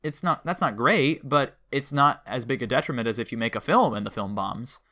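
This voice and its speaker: A man's voice: mid-range voice, expressive, brisk, bright, forceful tone. A man talking casually in an interview as an audio drama producer.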